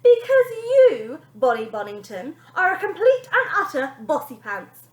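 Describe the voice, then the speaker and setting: A woman's voice: sits high, big pitch swings, measured pace, roomy sound, pitched above the performer's own voice. A woman voicing a snide, bullying TV nanny in a dramatic, snappy, sneering manner for a children's story. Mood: smug, menacing.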